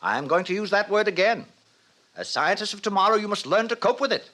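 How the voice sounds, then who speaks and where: An actor playing a pompous, lecherous middle-aged director lecturing theatrically in an old radio drama. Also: male voice, sits high, expressive, quick pace, pushed and bright. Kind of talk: 1950s radio drama acting. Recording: noisy old radio broadcast recording.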